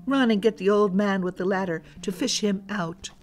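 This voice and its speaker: A woman's voice: medium pitch, normal speed, soft background music, lightly acted. A woman voicing a stern, impatient mother in a children's folktale, with formal, scolding phrasing. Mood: annoyed. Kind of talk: children's storybook character voice.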